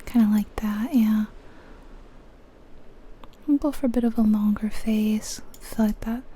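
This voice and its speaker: A woman whispering softly and breathily in an ASMR style, gently musing aloud as she comments on a video game. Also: mid-range voice, fairly even intonation, measured pace, breathy edge.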